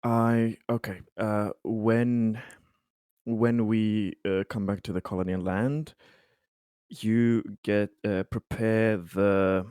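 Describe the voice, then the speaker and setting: A man roleplaying in a tabletop game discussion, speaking in a hesitant, halting way. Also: lowish voice, darkish tone, smooth, dry recording, clean studio sound.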